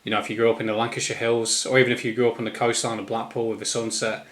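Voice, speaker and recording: A man with an English East Midlands accent giving an informal, unscripted opinion monologue in a rambling, halting manner. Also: mid-range voice, level tone, a bit bright. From East Midlands, England. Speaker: solo commentator.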